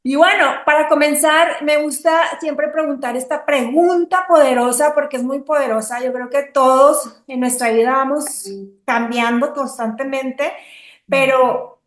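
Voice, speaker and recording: Woman podcast host, high voice, roomy echo; effusive, casual interviewer. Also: fairly even intonation, unhurried. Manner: effusive, warm praise of guest.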